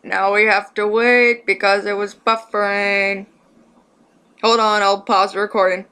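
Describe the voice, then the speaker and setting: Bright-voiced woman giving casual reactions. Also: mid-range voice, flat delivery, a little slow, smooth. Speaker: reactor commenting on a story reading. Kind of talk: casual spontaneous reaction commentary.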